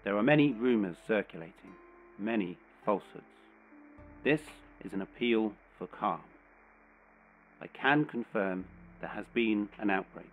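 An actor playing a stern army general reading a formal, official statement through a tinny radio. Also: male voice, lowish voice. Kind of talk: formal prepared press statement. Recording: tinny narrow-band radio relay.

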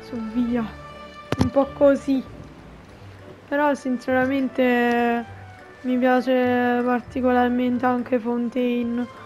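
A woman's voice: fairly high pitch, monotone, slow, a little mellow. A woman from Italy giving casual, chatty, informal commentary as a gaming YouTuber. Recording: game audio and music behind. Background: video game music and dialogue.

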